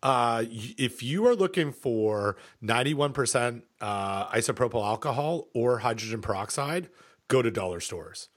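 A middle-aged man co-hosting a podcast, chatting casually with many fillers, pauses and restarts. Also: medium pitch, expressive. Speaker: podcast co-host.

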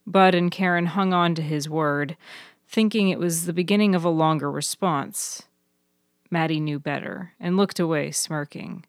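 A woman's voice: low voice, moderate pace, no room sound, clean studio sound. A woman reading scripted fiction as the narrator of an audio story. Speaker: author and narrator.

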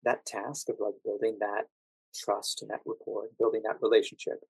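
An American man answering interview questions as a guest, explaining things in a measured, thoughtful conversational way. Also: lowish voice, little pitch movement, creaky, breathy edge, no room sound, poor audio. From United States. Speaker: podcast guest, actor working as a standardized patient.

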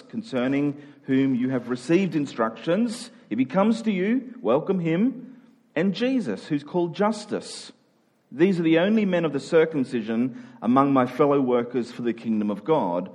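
An Australian man speaking as a pastor in church, with measured, deliberate phrasing as he preaches, reads scripture and leads the congregation. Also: mid-range voice, a little mellow. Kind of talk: sermon with scripture reading and prayer.

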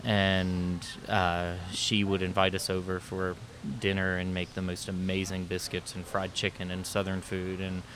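A man's voice: low voice, monotone, unhurried, hardly any pauses, a bit bright. A man in his fifties from the United States, talking casually as an interview guest and reminiscing. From US: born in New York, raised in Florida and South Carolina. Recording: clear background noise.

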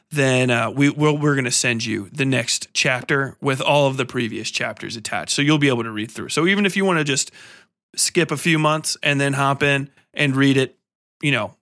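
A man hosting a podcast in a casual, energetic and informal way, chatting freely and swearing now and then. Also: mid-range voice. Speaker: podcast host and interviewer. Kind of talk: casual podcast chat and sign-off.

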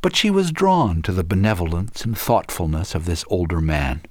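A man reading scripted documentary narration in a measured storytelling delivery. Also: sits low, sing-song, no room sound.

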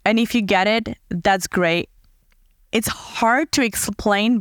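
Earnest woman with a smooth voice. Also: medium pitch, dry recording, studio quality. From Fiji. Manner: earnest and heartfelt, slowing to dwell on words. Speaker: podcast host. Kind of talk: reflective personal monologue.

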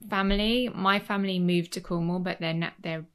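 Lady in her 30s, low-ish voice, rambles a lot with fillers and restarts. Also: fairly even intonation, a little fast. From Leeds, Yorkshire, England. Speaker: guest. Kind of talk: casual conversation. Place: home in Cornwall.

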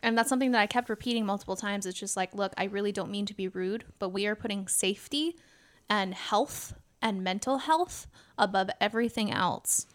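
A woman's voice: mid-range voice, brisk. A woman talking casually and earnestly on a podcast as part of a theater company, making her points with emphasis. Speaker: theater company member and stage manager.

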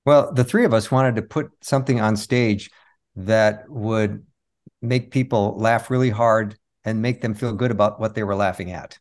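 Male interview guest, somewhat deep register, relaxed conversational storytelling as a seasoned performer. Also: moderate pace. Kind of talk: casual anecdotal chat.

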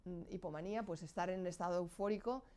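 A woman's voice: on the low side, fast, warm tone. Hesitant woman, Spanish not her first language. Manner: hesitant, searching for words with frequent restarts. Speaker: guest sharing her experience. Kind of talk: candid personal account.

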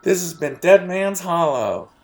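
A young man running a tabletop game as game master, narrating in a relaxed, halting way with many fillers. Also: high voice, creaky.

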